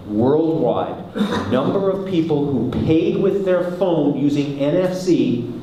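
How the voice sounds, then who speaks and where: A Canadian man giving a lively, joking conference talk and questioning the audience. He is recorded in a large hall, away from the mic. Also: mid-range voice, slight background noise. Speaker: conference speaker. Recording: echoey hall, speaker far from mic.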